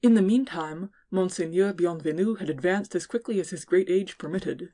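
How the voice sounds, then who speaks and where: A woman's voice: fairly low pitch, normal speed, mellow. A woman giving scripted narration of classic fiction as an audiobook narrator.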